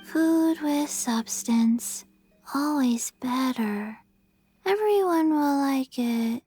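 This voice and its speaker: A woman voicing a sleepy, blunt little undead girl in a video game, soft, childlike, halting and deadpan. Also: high voice, no echo, soft background music, heavily acted. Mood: flat, content. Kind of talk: video game character acting.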